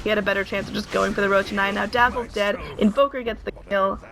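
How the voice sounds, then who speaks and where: A woman casting an esports match with play-by-play commentary, her voice hoarse and worn as she loses it. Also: medium pitch, noisy background. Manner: quick, excited play-by-play with filler pauses. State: hoarse, losing voice. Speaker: esports caster.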